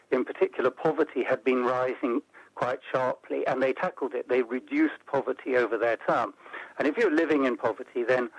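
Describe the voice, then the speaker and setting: A man as an interview guest, explaining policy in a measured way and building points into lists. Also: mid-range voice, no echo, highs cut off. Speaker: interview guest, report author. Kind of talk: radio interview.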